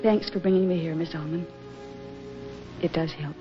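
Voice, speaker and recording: A woman's voice: deep voice, steady tone, moderate pace, lightly acted. Actress playing a lovelorn young woman, old muffled radio drama. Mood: subdued. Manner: earnest, emotional, melodramatic delivery. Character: lovelorn, anguished young woman awaiting her soldier sweetheart. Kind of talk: 1940s radio drama acting. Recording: muffled vintage radio recording with music.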